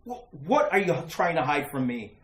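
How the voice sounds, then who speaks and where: A woman's voice: low voice, very animated, moderate pace. A woman playing an anxious, hesitant husband searching for his missing wife in a retro radio mystery. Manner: hesitant, stammering, urgent. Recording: roomy sound with faint noise.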